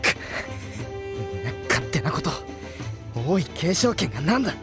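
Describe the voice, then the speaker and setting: A man's voice: fairly high pitch, huge pitch swings, normal speed, loud music behind the voice. An actor playing a determined, proud young man training to be a knight in an anime audio drama. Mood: bitter resolve.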